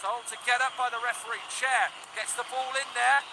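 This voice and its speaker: A man giving brisk live play-by-play football commentary that lifts with each attack. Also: very high voice, steady tone, noisy background.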